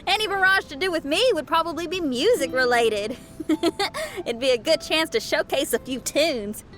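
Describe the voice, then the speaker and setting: A woman's voice: sits high, lively intonation, moderate pace, fairly bright tone, soft background music. A woman voicing an upbeat, easygoing young female musician with a folksy, casual manner in a video game. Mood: eager, upbeat. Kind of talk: English video game voice acting.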